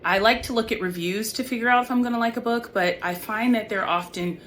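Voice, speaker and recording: A woman's voice: a little high, fairly quick, fairly bright tone. A woman author reading young adult fiction aloud in an audiobook style. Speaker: author reading own book. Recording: clearly noisy background.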